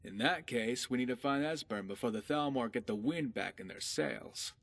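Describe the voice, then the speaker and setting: A man's voice: medium pitch, normal speed, creaky, a bit bright, no echo. A man voicing a sardonic but loyal pirate companion in a fantasy video game, with a dry delivery. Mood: wry, concerned. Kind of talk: scripted fantasy game dialogue.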